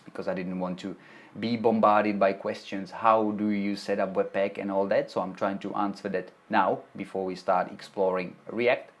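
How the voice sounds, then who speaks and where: Czech-accented man hosting a live Q&A. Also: lowish voice. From Czech Republic. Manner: chatty, reads chat aloud, frequent restarts. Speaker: web development tutorial host. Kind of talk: live stream Q&A, answering chat. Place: home office, Melbourne.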